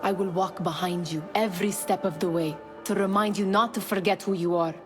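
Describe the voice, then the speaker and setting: A woman's voice: fairly low pitch, steady tone, brisk, music in the background, lightly acted. An actress playing an earnest, challenging young woman in a video game, probing and intense. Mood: solemn, insistent. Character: insistent, challenging young woman, old friend of an assassin. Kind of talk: video game cutscene acting.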